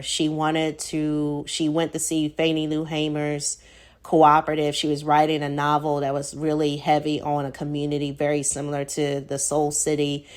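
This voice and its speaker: A woman with a low voice and a Southern accent hosts her own podcast alone. She muses in a loose, unhurried way, with plenty of "like" and "um". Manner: casual, reflective, frequent "like" and "um" fillers. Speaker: podcast host. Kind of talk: solo podcast monologue.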